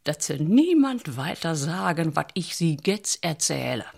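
An actress in a radio drama playing a worn-out, middle-aged seamstress who is mistrustful and gossipy, with rambling colloquial speech. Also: female voice, low voice, big pitch swings, measured pace, gravelly, darkish tone, exaggerated voice. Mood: confiding.

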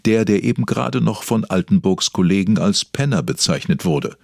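Deep, husky male documentary narrator. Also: lively intonation, moderate pace, dry recording, clean studio sound. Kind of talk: scripted narration, mock-serious radio documentary.

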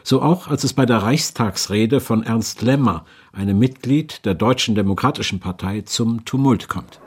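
A man's voice: mid-range voice, normal speed, husky. A man reading scripted documentary narration in a sober, factual manner.

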